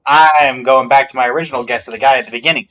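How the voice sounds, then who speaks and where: A man's voice: medium pitch, steady tone, rapid-fire, fairly bright tone. A man hosting a game reaction, commenting casually with wry, offhand asides. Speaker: reaction host.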